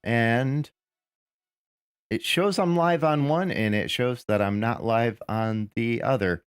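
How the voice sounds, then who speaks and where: Raspy man streaming a game. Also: medium pitch, slow, dry recording, clean studio sound. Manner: rambling, thinking aloud with fillers and restarts. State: raspy, recovering from lost voice. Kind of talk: casual live gameplay commentary.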